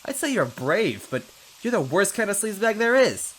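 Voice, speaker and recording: A man puts on a small female monster cop for a comic story. She is tough, sassy and easily outraged. Her voice jumps high and swings widely in pitch as she scolds. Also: sing-song, normal speed, fairly bright tone, slight background noise, poor audio. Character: small, angry, sassy female Goomba police officer.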